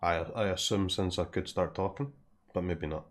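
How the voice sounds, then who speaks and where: A man with a Scottish accent streaming a card game, thinking aloud in casual commentary, often dropping to a mutter and clearing his throat. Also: low-pitched, normal speed. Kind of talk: casual live commentary while playing.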